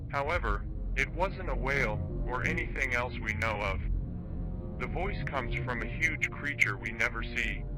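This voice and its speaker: A man playing a solemn documentary narrator of monster attacks, reading a formal, measured horror script. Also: medium pitch, close to an everyday voice, poor audio. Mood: grave. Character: grave male documentary narrator reporting giant monster attacks. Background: background music.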